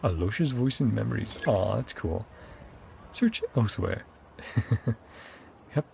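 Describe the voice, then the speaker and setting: A man's voice: fairly low pitch, lively intonation, measured pace, vocal fry, a little mellow, slight background noise. A man giving casual let's play commentary, thinking aloud and reading game text out, often with a chuckle. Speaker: game streamer. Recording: game music behind voice. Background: video game music.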